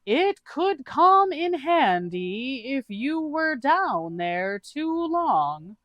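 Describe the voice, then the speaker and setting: Very slow, high dopey adventurer. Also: female voice, very animated, a bit bright, no room sound, dull audio, full character voice. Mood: innocent, evasive. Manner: drawn-out, deliberate delivery.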